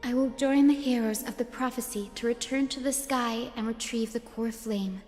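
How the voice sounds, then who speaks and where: An actress playing a gentle, earnest young woman in a video game, speaking softly and politely. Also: a little high, steady tone, normal speed, big-room sound, faint music behind, natural voice. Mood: solemn, reverent.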